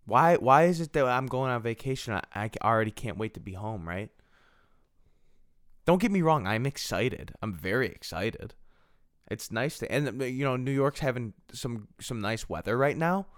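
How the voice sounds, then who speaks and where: A man's voice: medium pitch, animated, moderate pace, no room sound, clean studio sound. A man in his twenties hosting a solo podcast, rambling casually and poking fun at himself, often repeating and restarting his phrases. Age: 20s. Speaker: podcast host.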